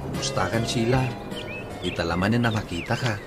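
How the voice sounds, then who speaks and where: A man's voice: on the low side, fairly quick, close to an everyday voice. A man with an Ilocano accent playing an eager suitor in a radio drama. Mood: wooing. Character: eager man courting his old sweetheart. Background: music.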